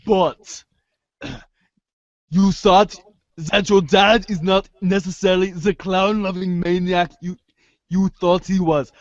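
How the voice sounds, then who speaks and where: A man acts out an unflappable amateur psychoanalyst in an audio play, formal and wordy, stumbling through long analytical phrases. The voice sits fairly high, and the recording is dry and slightly dull. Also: steady tone, takes it slowly, pauses often, dry recording. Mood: probing. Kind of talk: amateur reader's theater acting.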